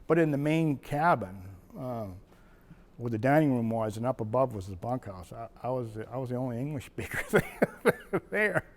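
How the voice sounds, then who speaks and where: Older man, casual reminiscing, rambling, chuckles often, middle pitch. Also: lively intonation, normal speed. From Maine, USA. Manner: rambling, chuckling storyteller with frequent restarts. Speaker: retired wildlife biologist. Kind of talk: reminiscing personal story.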